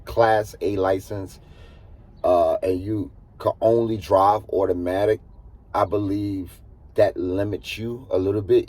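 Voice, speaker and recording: A man's voice: low-pitched, dark tone. A man in his thirties with an American accent, chatting casually to viewers as a truck driver vlogging, repeating himself for emphasis. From eastern United States. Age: 30s. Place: inside a truck cab. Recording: clear vehicle noise behind voice.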